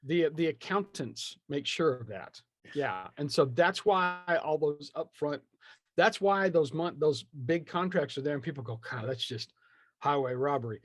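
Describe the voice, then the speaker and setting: Animated, opinionated man in dry close sound. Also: on the high side, measured pace, dry recording, faint music behind. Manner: opinionated, slangy, acts out imagined quotes. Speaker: podcast guest. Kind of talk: animated casual conversation.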